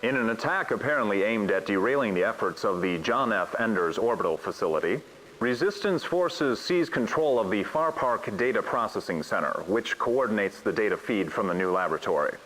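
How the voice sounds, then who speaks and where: A man's voice: on the low side, fairly quick, rough recording. A man playing a formal television newsreader delivering a scripted bulletin in a video game, impassive in manner. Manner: formal, even, announcing. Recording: faint hiss, broadcast from a screen.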